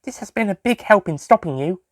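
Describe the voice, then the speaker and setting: A man's voice: high voice, expressive, fairly quick, dry recording, studio quality, pitched above the performer's own voice. A man voicing a clever, cheeky young granddaughter in an audio drama, teasing in a giggly, smug manner.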